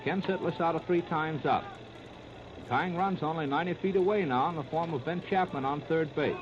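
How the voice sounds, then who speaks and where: A man's voice: a little high, normal speed. An American man calling baseball play-by-play in clipped, terse phrases, in the style of 1930s live radio commentary. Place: ballpark broadcast. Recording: noisy, muffled 1930s radio disc recording.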